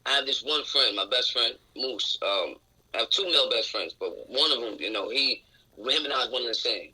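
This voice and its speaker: Raspy, outspoken young man. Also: mid-range voice, fairly quick, pushed and bright, rough recording. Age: 20s. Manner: outspoken, open and reflective. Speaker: interview guest. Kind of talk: candid casual conversation. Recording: radio show recording.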